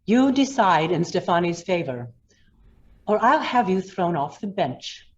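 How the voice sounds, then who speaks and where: A woman's voice: fairly low pitch, sing-song, unhurried, dull audio. A woman playing a ruthless, commanding lawyer in an old-time radio drama, hard and threatening in manner. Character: ruthless, commanding female lawyer blackmailing a judge.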